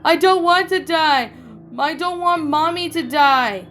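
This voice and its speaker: A woman voicing a chatty, easily frightened young girl in a high voice. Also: normal speed, soft background music, close to an everyday voice. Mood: tearful.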